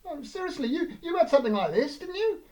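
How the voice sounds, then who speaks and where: A man's voice: extremely high pitch, sing-song, fast talker, a little mellow, voice pushed up. A man voicing an anxious hypochondriac brother who frets and stammers through worried questions. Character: anxious hypochondriac brother fretting over his symptoms.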